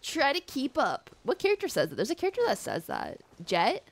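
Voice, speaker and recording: A woman's voice: high voice, huge pitch swings, brisk. A woman game streamer giving casual, reactive live commentary, informal and repeating phrases for emphasis.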